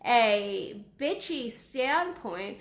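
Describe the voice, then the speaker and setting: A woman in her thirties with a fairly high voice that sounds raspy from being unwell. She talks frankly and casually, swears freely, and comes across as blunt. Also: big pitch swings, extremely slow, echoey room. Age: 30s. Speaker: sex coach. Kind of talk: casual talk to camera. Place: small waiting room at her office.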